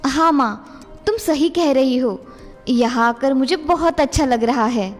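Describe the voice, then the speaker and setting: Woman as high-pitched scheming young daughter. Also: unhurried, long reverb tail, faint music behind. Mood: obedient. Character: obedient scheming young daughter posing as princess. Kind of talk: dramatised children's fairy tale acting.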